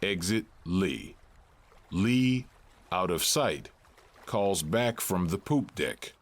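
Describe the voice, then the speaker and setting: A male narrator reads stage directions for an audio drama. His voice is fairly low and takes its time, with very animated rises and falls in pitch. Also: extremely slow.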